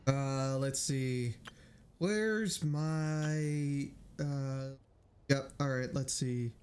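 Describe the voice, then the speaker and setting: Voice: mid-range voice, extremely slow, a little mellow, a little hiss or hum. A game streamer giving casual, offhand gameplay commentary, often muttering. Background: game music.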